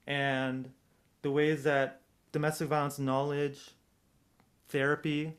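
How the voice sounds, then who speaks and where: Reflective American man giving an informal academic talk. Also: mid-range voice. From United States, Southern California. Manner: reflective, hedging, thinking aloud with rhetorical questions. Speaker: presenter, domestic violence practitioner. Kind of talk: academic presentation, informal and explanatory.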